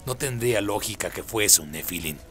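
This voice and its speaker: A demon underling voiced by a man addresses his dark master in a radio drama. The voice is very deep, measured and deferential. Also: expressive, faint music behind.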